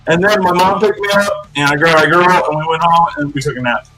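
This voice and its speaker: A man's voice: fairly high pitch, a little fast, sharp tone, low-quality recording. A man in his early forties in casual, profane podcast chat, rambling loosely with lots of slang. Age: early 40s. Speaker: podcast co-host.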